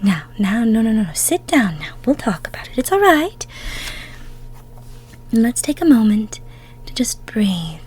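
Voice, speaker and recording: A woman playing a tender, emotional goddess of love in an intimate roleplay audio, cooing soothingly in a breathy, near-whispered voice. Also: a little high, sing-song, a little slow, pauses often, darkish tone, full character voice. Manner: soothing, cooing, coddling with pet names.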